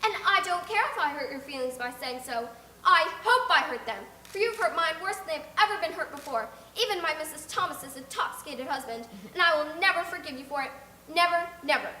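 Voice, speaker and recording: A passionate red-haired orphan girl, played by a woman reading aloud hurls high, ringing, shouted accusations. Her voice is sharp and bright and carries loudly. The audiobook was recorded in a roomy space with a faint hiss. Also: lively intonation, brisk. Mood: indignant. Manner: shouting, indignant outbursts.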